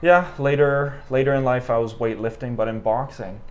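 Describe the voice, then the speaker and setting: A young Canadian man in his twenties, talking in a relaxed, rambling way with many fillers as a guest on an interview podcast. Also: medium pitch, moderate pace. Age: 20s. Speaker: guest, amateur boxer. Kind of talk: casual interview conversation.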